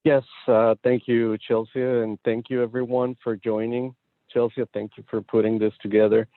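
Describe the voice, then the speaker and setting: A man from Mexico, a consultant, introducing himself on a panel in a hesitant way, pausing often with "uh," over a video call. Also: mid-range voice, little pitch movement, dry recording. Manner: hesitant, many "uh" pauses. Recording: dry, tinny phone-like call audio.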